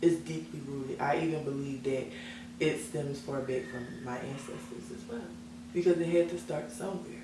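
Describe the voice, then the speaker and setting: A woman's voice: sits low, normal speed. A young woman singer as an interview guest, talking about herself in earnest, self-assured casual chat. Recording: echoey room, mic at a distance, background hum.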